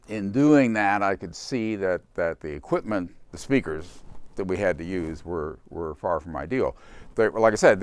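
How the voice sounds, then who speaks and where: An older man, a company founder, speaking reflectively in an interview in a halting, unhurried way with pauses. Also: sits low.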